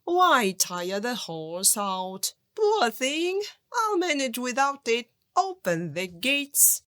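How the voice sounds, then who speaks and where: Woman reading as a lively lazy young peasant lad. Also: a little high, slow, no room sound, clean studio sound, voice pushed up, full character voice. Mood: nonchalant. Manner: calling out commands. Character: Yemelia, lazy carefree young peasant man of a folk tale. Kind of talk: children's storybook character reading.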